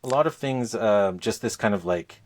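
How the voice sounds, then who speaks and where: A man's voice: lowish voice, lively intonation, normal speed. A man hosting a podcast in casual chat, phrasing his thoughts in a hesitant, thoughtful way. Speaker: podcast host.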